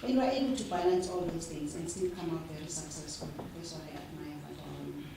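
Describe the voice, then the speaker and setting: A woman's voice: deep voice, fast, gravelly, crowd noise. A woman giving an earnest, emphatic speech to a gathering. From South Africa. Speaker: speaker at a women's gathering. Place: function room at a breakfast event. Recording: echoey room, far from the camera.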